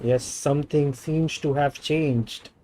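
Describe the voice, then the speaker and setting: A man's voice: a little high, steady tone, takes it slowly, darkish tone, a little hiss or hum. A man with an Indian accent streaming a game, giving casual commentary and reading dialogue aloud.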